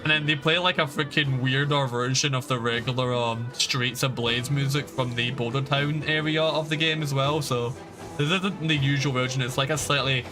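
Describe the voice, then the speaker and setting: Male streamer, mid-range voice, casual gameplay commentary, rambling, informal, sweary, game music clearly behind. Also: mid-range voice, steady tone, normal speed. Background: video game music.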